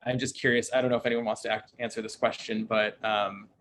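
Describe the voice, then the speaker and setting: A man casually hosting a discussion on a video call in an informal, halting way. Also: fairly low pitch, little pitch movement, a bit bright. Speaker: host and poet moderating a reading. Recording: faint noise on video call.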